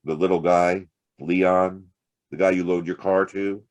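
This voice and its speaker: Very low-voiced hard-boiled detective. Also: male voice, level tone, a little slow. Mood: sardonic, cool. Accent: American. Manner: sardonic, wry, clipped. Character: hard-boiled, wisecracking male private detective, narrating his case. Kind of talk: noir audio drama acting and narration.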